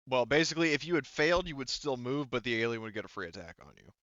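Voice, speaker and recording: A man's voice: mid-range voice, animated, quick pace, fairly bright tone, dry recording. A man casually explaining rules and narrating as the game master of a tabletop roleplaying game. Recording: dull lo-fi microphone.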